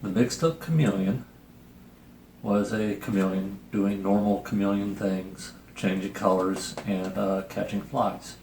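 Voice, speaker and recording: Slow-talking, low-voiced man in a roomy space. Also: steady tone, a little mellow. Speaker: host reading and reviewing a children's picture book. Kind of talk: casual storybook reading and review chat. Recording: roomy echo, noticeable background hiss.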